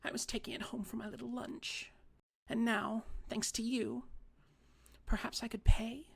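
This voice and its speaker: Tiny spiteful old lady put on by the narrator, high breathy half-whisper, gravelly edge. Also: little pitch movement, a little slow. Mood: aggrieved. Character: spiteful tiny old woman, petulant and wheedling. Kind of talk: audiobook character voice.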